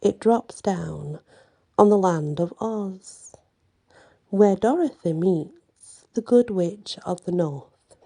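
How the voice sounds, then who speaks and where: A woman tells a bedtime story in a soft ASMR manner. Her voice is fairly low and very mellow, with a husky, breathy grain. She takes her time and draws out words. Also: slow.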